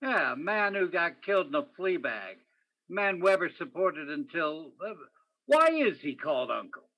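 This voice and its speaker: A man's voice: on the high side, sing-song, moderate pace, highs cut off. A man playing a probing, wry police detective in an old-time radio crime play, with terse, hard-boiled questioning. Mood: pressing. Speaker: student or guest stage actor in radio play.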